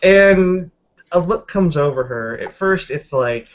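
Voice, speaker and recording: A man's voice: on the high side, lively intonation, slow, muffled recording. A man improvising narration as game master of a tabletop roleplaying session.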